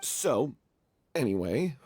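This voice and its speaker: An actor playing a young male stage performer confessing a guilty secret, hesitant and then anguished, with a husky voice. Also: medium pitch, very animated, takes it slowly, a little mellow. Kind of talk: game voice acting.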